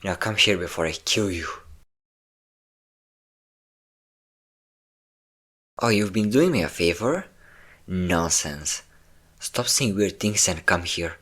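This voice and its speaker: A man's voice: sits low, normal speed, a bit bright. A man playing a possessive, obsessive vampire lover in an intimate, dramatic roleplay audio drama. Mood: menacing, mocking.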